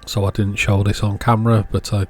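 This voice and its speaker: A man's voice: fairly low pitch, little pitch movement, brisk, soft background music. A man giving casual, hands-on repair narration as a video host, in a matter-of-fact, understated way.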